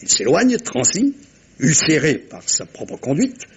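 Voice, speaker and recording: An actor playing a shrewd, self-assured middle-aged detective in a French radio mystery, commanding and theatrical, speaking with deliberate dramatic pauses. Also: male voice, a little high, wildly swooping pitch, slightly rough, heavily acted. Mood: grave, probing. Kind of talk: 1950s-style French radio mystery acting.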